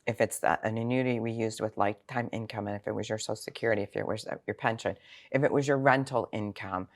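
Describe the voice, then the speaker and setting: A woman's voice: very deep voice, fairly even intonation, fast talker. A sixty-year-old woman speaking as a financial planner in an interview, explaining things informally with examples and rhetorical questions. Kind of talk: conversational interview answers.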